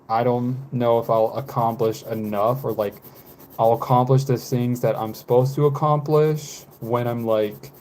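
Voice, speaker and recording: A man in his twenties chatting casually with live viewers, rambling and hesitant, with many fillers, self-corrections and soft laughs. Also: mid-range voice, a little hiss or hum. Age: 20s. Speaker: live streamer.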